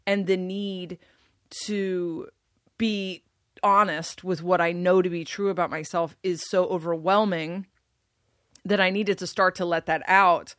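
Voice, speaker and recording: A woman with a deep, low voice talks things through in relaxed conversation. She pauses and restarts as she thinks aloud. The sound is close and dry, with no room around it. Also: no echo. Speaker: podcast guest. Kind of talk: casual conversation.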